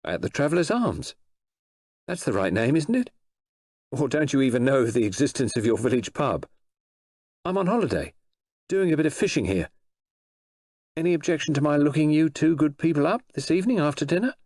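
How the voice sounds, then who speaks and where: Man acting a breezy, proud gentleman suitor. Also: fairly high pitch, very animated, fairly quick, pauses often, no echo, pitched above the performer's own voice. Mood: breezy, teasing.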